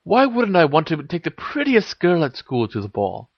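A man's voice: fairly high pitch, wildly swooping pitch, moderate pace, slightly rough, no room sound, lo-fi sound, pitched above the performer's own voice, heavily acted. A man voicing an awkward, earnest teenage boy wizard in a story reading, tentative in delivery. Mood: flustered. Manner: tentative, slightly flustered.

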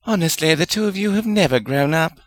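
A man voicing a kindly female witch spirit with a gentle, motherly, soothing manner in a storybook reading. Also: fairly high pitch, animated, a little fast, voice pushed up, lightly acted. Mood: exasperated.

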